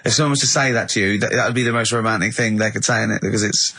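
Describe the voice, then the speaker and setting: A man's voice: fairly low pitch, steady tone, rapid-fire, sharp tone. A man hosting a casual comedy radio show, teasing and asking questions in an incredulous way.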